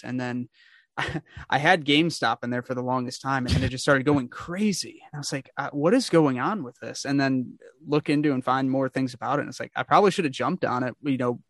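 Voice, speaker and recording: A man's voice: mid-range voice, a bit bright, no room sound. Chatty young man hosting an interview. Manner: chatty, upbeat, rambling with restarts. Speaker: podcast host. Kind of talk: casual interview.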